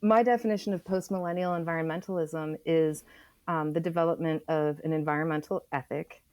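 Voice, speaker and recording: American woman, middle-aged, low pitch, dry recording, conversational, thoughtful, halting, stressed key phrases. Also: fairly even intonation, a little mellow, no room sound. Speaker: author guest on a podcast. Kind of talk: conversational interview explanation.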